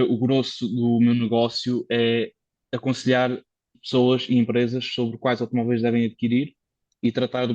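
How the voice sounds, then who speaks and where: A man's voice: mid-range voice, darkish tone, no echo, lo-fi sound. A Portuguese man, a car consultant, talking casually as a podcast guest, explaining things at length with many fillers and restarts. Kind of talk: casual conversational interview.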